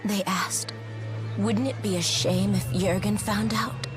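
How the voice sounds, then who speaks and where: A woman's voice: mid-range voice, steady tone, slow pace, over music, close to an everyday voice. An actress playing an anxious young woman in a video game, pleading and defensive. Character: anxious young woman, engaged, hiding a shameful past.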